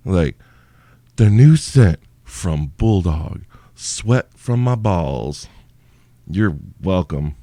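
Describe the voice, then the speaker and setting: Low-voiced, sleepy, profane male podcaster. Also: expressive, extremely slow, warm tone, dry recording. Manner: profane, irreverent, rambling. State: sleepy, tired. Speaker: podcast host. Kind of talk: crude solo Q&A podcast.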